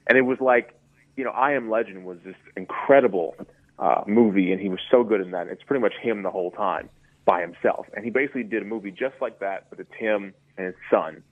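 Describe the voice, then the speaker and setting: A man chats casually with playful banter. His voice sits low, and the sound is thin and phone-like. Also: fairly quick. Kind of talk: casual chat.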